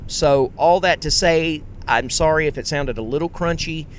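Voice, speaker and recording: A man hosting a casual solo podcast, talking in a rambling, self-correcting way and projecting his voice. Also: normal speed. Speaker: podcast host. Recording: faint road rumble underneath. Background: road noise.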